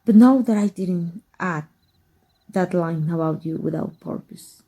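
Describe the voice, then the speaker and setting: A woman voice actor playing a tender, teasing evil magic teacher in an intimate ASMR roleplay, slow and hushed with a husky, breathy voice. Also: fairly low pitch, heavily acted. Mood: confiding, affectionate. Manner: slow, hushed, deliberate, seductive.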